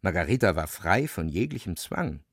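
A man narrating a novel as dramatic literary storytelling, expressive and vivid. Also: deep voice, normal speed, no echo, clean studio sound.

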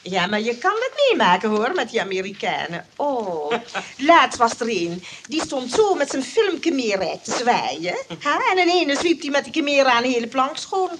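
An actress in a radio comedy playing a fussy, chatty, aggrieved shopkeeper who hesitates and keeps fishing for agreement. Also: female voice, fairly high pitch, sing-song, moderate pace. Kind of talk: radio comedy drama acting. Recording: noisy old radio play recording.

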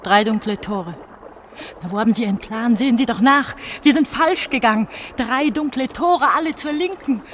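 Actress as anguished teenage runaway, some background noise. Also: female voice, on the high side, a bit bright, natural voice. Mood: desperate. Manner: brooding, halting monologue with repeated phrases. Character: anguished eighteen-year-old pastor's daughter on the run. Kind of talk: German radio drama acting.

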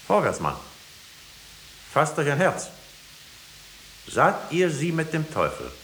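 An actor playing a stern, solemn judge who presses insistently for a confession, in 1950s German radio drama style. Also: male voice, on the high side, huge pitch swings, slow, fairly bright tone, heavily acted. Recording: hissy, echoey 1950s radio recording.

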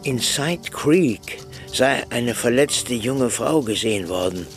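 A man's voice: mid-range voice, expressive, slow pace. Bitter, grieving old man. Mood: subdued, recounting. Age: older. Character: grieving, frail old former reverend, bitter and lonely. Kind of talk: German radio play acting. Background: music.

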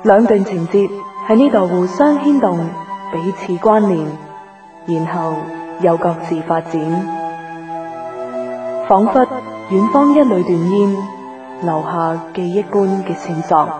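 A woman narrates a radio drama in Hong Kong Cantonese, reading poetic scripted prose. Her voice is fairly low and sits slightly back from the mic, with clear new-age music behind it. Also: measured pace, recorded from a distance.

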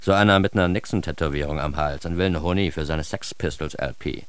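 A man's voice: a bass voice, fast talker, smooth, no room sound. An actor narrating a radio drama as a naive, bookish young man with a wry, self-mocking and precise manner. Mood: casual, mischievous. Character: naive, bookish young man recounting his life with comic earnestness. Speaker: first-person narrator.

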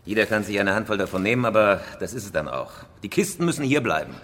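A cool, pragmatic robbery boss in a radio thriller. He speaks tersely and decisively in a lowish voice, with long reverb around it. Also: animated, fast talker, a bit bright, slight background noise. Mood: firm, sober. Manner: terse, decisive, matter-of-fact. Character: cool, pragmatic male heist leader. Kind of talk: German radio crime drama acting.

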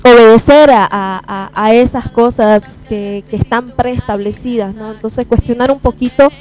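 A woman's voice: fairly high pitch, moderate pace, a little mellow, faint music behind. A woman talking casually on a radio panel, building her points tentatively with repeated phrases. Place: radio booth.

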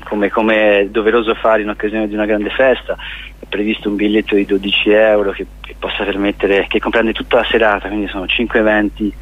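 A man's voice: on the low side, little pitch movement, brisk, slightly rough, narrow, tinny audio. A man giving a casual interview as a guest, circling back and repeating his phrases as he explains. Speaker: festival organizer, interview guest.

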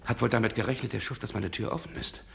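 An actor playing a brooding, suspicious gentleman in a 1960s German radio drama, sardonic and agitated in manner. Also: medium pitch, fairly even intonation, quick pace, creaky, a little hiss or hum. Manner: agitated, sardonic, muttering to himself. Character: brooding, suspicious gentleman confronting a nocturnal visitor.

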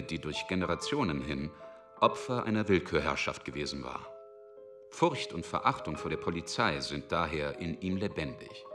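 A man reading literary narration for a German radio drama. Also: sits low.

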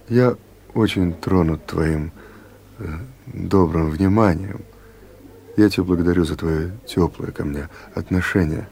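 Smooth male colleague. Gravelly, dark tone, careful and evasive, radio comedy play. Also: low voice, slight background noise, full character voice. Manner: polite, placating, careful. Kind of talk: Soviet radio comedy acting.

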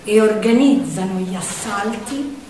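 A woman's voice: medium pitch, unhurried, darkish tone, lightly acted. A woman performing a theatrical narration in a declamatory way. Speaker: stage performer. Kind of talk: theatrical storytelling on the wartime Resistance. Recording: very echoey stage, distant mic.

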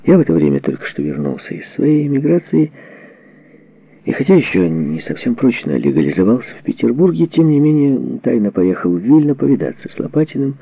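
A man reading a memoir as a scripted audiobook narration, with measured, dramatic phrasing and expressive delivery. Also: medium pitch, gravelly, breathy edge, dry recording. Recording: dull, muffled lo-fi audio.

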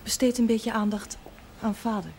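An actress playing a sorrowful, guarded grown daughter in a 1970s television drama. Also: female voice, on the high side, little pitch movement, normal speed, a little mellow, lightly acted. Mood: subdued, guarded. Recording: noisy 1970s television soundtrack.

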